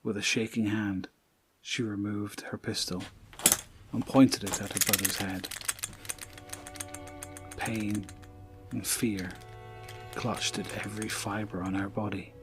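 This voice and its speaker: A man narrating an audio drama in a solemn, deliberate, dramatic scripted style. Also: lowish voice, level tone. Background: music.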